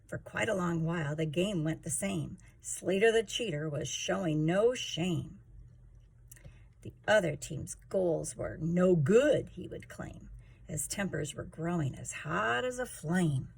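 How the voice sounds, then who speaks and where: Female storytime reader, unhurried and expressive, creaky husky tone, rhythmic read of rhyming picture-book lines. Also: lowish voice.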